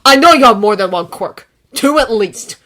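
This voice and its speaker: A woman voicing a furious teenage boy with an explosive temper, shouting and swearing in a fan fiction reading. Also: on the high side, animated, normal speed, voice pushed up.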